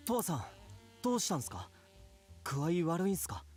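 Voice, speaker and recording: A young gangster from a Japanese visual novel, excitable and deferential. He talks quickly with lively swings in pitch, over faint music. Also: no echo. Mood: concerned.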